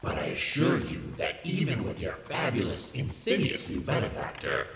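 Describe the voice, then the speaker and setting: Man voicing a deep, sardonic demon tempter. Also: vocal fry, very noisy, full character voice. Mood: stern, coaxing. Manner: theatrical, sardonic, grandiose wording. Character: sly, hedonistic male demon, mocking tempter and mentor. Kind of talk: ASMR roleplay monologue. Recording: echoey reverberant sound, loud background ambience.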